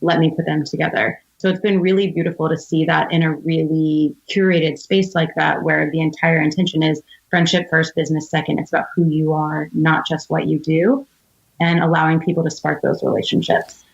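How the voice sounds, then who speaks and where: Low-voiced woman on dull, muffled audio. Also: little pitch movement, normal speed, creaky. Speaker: interview guest, entrepreneur. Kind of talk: conversational storytelling and advice. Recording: dull, band-limited audio.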